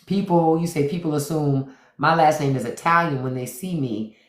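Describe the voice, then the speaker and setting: A woman's voice: sits low, moderate pace, reverberant. A woman hosting a casual livestream chat, emphatic, repeating her points and reading viewer comments aloud. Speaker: podcast host. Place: home room on a livestream.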